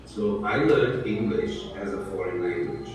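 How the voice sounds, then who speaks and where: A Brazilian man in his sixties, a native Portuguese speaker, talking slowly and clearly in English to students, often checking that he is understood. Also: on the low side. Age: 60s. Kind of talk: talking to students in a language class. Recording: echoey, distant room sound.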